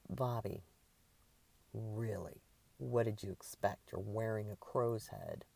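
A woman's voice: extremely low pitch, expressive, slow, gravelly, heavily acted. Woman playing a firm, exasperated woman leader. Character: sonorous, exasperated woman in charge of artists.

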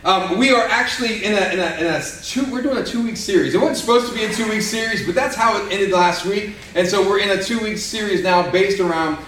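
A man preaching a casual, energetic sermon to a small audience, peppering his talk with "man" and "right?". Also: high voice, fairly quick, few pauses, slight background noise. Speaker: pastor. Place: church room with a small audience. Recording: echoey room, mic at a distance. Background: small live audience responding.

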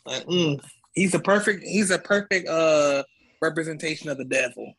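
A woman's voice: sits low, steady tone, moderate pace, a bit bright, husky, low-quality recording. A woman in a casual chat, talking in a blunt, sassy, colloquial way.

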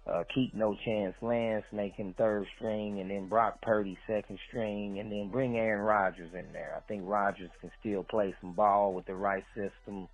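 Male sports fan, low and rough-edged, casual opinions on a dull voicemail with music behind. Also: steady tone, moderate pace, hardly any pauses. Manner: repeats phrases for emphasis.

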